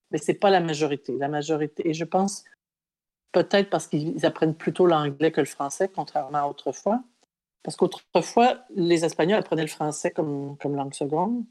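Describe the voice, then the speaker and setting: A woman's voice: deep voice, warm tone. A woman from Quebec, talking casually and emphatically as an interview guest, telling anecdotes. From Quebec, Canada.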